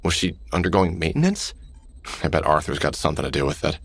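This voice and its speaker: A man's voice: rumbling low, animated, fast, vocal fry, slightly rough, full character voice. An actor playing a weary, cynical middle-aged man in a sci-fi visual novel, dry and sardonic, muttering observations to himself. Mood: puzzled, musing. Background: music.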